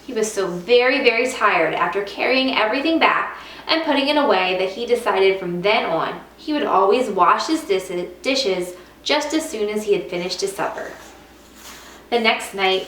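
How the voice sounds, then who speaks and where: A woman teacher reading a children's picture book aloud to students, with expressive storytelling and stressed repeated words. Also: medium pitch. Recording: roomy echo, distant mic, faint noise.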